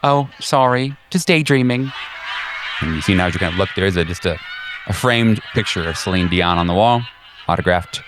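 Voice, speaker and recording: A man narrating a tabletop horror game as game master, improvising in a conversational, halting, descriptive way. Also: deep voice, background noise.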